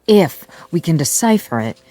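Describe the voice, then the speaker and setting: An actress playing an intent government aide in a radio drama, with a husky voice. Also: female voice, deep voice, sing-song, normal speed, dark tone. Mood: intent, hushed.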